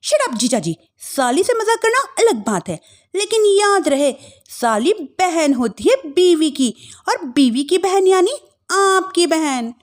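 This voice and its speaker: A woman acts out a cheeky young sister-in-law from a family story cartoon. Her voice is extremely high and swings in pitch, and she speaks slowly and deliberately. She is bold, clever and assertive. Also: big pitch swings, full character voice. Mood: bold, demanding. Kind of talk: animated Hindi family story dramatization.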